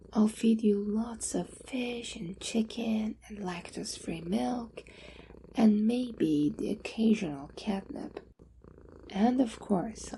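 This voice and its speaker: A woman acting a slow, cooing, possessive captor with vocal fry. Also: medium pitch, dark tone, slight background noise, poor audio. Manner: slow, teasing, cooing, condescending, often whispering. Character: possessive, coaxing female owner doting on a captive pet. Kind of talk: intimate ASMR roleplay, often dropping to whispers.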